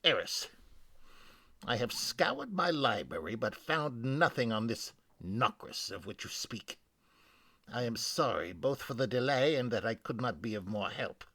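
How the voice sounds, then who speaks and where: A man's voice: medium pitch, big pitch swings, measured pace, sharp tone, dry recording, exaggerated voice. A man playing a weary, grave scholar being changed by machine parasites, formal and brooding in a dramatic game lore reading.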